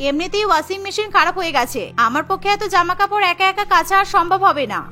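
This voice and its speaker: A young cartoon daughter-in-law played by a woman, full of sarcastic complaint. Her voice is extremely high and bright, with music underneath. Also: quick pace. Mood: accusing. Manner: grumbling, sarcastic.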